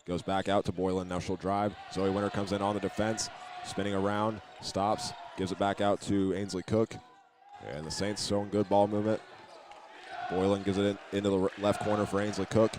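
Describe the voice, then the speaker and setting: Male basketball play-by-play announcer, lowish voice with little inflection, plain delivery with the odd stumble, over noisy gym sound. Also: flat delivery, brisk. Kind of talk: live basketball play-by-play commentary. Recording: noisy gym ambience behind the voice.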